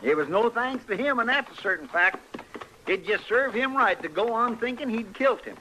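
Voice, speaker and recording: An actor playing a folksy, loyal frontier deputy who grumbles yet defers, with a rural American accent, in old-time radio style. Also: male voice, very high-pitched, expressive, moderate pace, bright, forceful tone, exaggerated voice. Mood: grumbling. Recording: noisy old radio drama recording.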